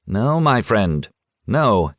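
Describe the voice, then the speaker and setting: A man reading an audiobook and voicing a calm, stubborn aging village man who speaks quietly and firmly. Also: on the low side, very slow, dry recording, clean studio sound, voice pushed up, full character voice. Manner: quiet and firm, sure of himself. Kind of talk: audiobook character voice.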